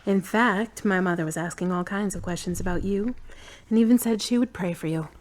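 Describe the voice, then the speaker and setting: A woman's voice: medium pitch, brisk, a little mellow, close to an everyday voice. A woman playing a frank, caring friend with a conversational, matter-of-fact way of talking, in an audio drama. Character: frank, caring woman, tired but gently teasing. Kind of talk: hobby audio drama acting.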